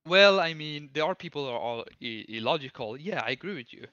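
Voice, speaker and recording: A man hosting a heated casual debate over a voice call, insistent and quick to cut others off with repeated words. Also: fairly high pitch, lively intonation, pushed and bright, smooth, dry recording, muffled recording. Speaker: debate host. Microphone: voice call mic.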